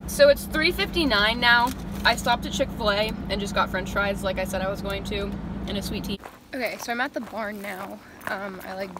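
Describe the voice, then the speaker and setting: A woman's voice: mid-range voice, background noise. A young woman talking casually into a phone camera, rambling with lots of filler words. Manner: chatty, rambling with many "like" and "um" fillers. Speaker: vlogger. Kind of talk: casual vlog chat. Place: outdoors and in a horse barn. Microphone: phone camera held at arm's length.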